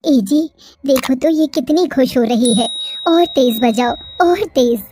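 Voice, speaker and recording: A woman voicing a doting young village wife in a cartoon story, soft and coaxing. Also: high-pitched, slow pace, no echo.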